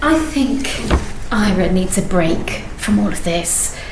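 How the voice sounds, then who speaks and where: An actress playing a concerned, protective friend in a radio drama. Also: female voice, mid-range voice, expressive, slow, echoey room, noisy background.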